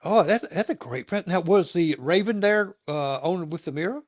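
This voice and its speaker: A man's voice: sits high, animated, normal speed, no echo. Chuckling man host, dry close sound. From North Carolina. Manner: rambling, chuckling often, many restarts. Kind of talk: casual interview chat.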